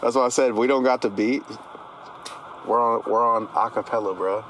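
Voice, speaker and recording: A man's voice: fairly even intonation, normal speed, a bit bright. A man hosting a podcast, chatting casually in a hyped, slangy way. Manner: slangy, heavy on "bro" and "man". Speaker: podcast host. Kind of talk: casual hyped interview chat. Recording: noisy line with rumbling background.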